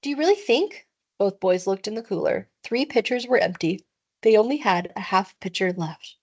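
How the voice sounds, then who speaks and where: A woman's voice: mid-range voice, moderate pace, a little mellow. A woman reading a children's book aloud expressively, like a teacher to pupils.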